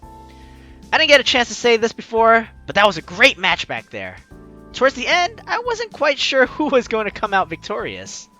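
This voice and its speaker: A man playing a game and reading its dialogue aloud, animated with joking asides. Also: very high voice, moderate pace. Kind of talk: let's play narration. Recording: game music behind.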